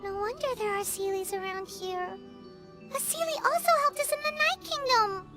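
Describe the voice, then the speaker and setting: A woman voicing a tiny, excitable childlike fairy companion in a video game, naive and exclamatory. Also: extremely high pitch, moderate pace, over loud music. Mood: excited. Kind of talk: scripted video game voice acting.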